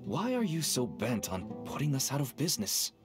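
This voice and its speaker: A man's voice: medium pitch, huge pitch swings, fairly quick. A man voicing a nervous, wheedling middle-aged father and dealer in a game, with a persuasive manner. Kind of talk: game voice acting. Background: game music.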